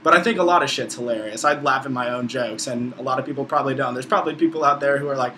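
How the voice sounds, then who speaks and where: Bright-voiced young American man vlogging, noisy. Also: medium pitch, very fast. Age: around 20. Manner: slangy, profane, informal. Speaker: video blogger, college student. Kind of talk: casual opinionated vlog monologue.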